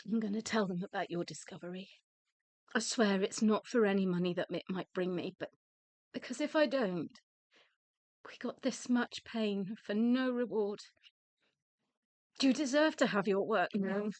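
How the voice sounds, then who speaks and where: An actress in a radio play as a strong-willed grieving widow, speaking in an emotional, direct way. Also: female voice, medium pitch, normal speed, pauses often, a little mellow, no room sound, close to an everyday voice. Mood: determined.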